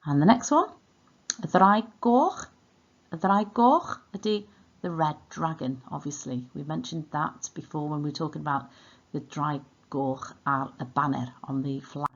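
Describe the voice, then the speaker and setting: A Welsh woman speaks as a teacher, in a fairly low voice. She gives clear instructions for an online language lesson and repeats words so learners can follow. The recording is slightly dull and lo-fi. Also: a little slow.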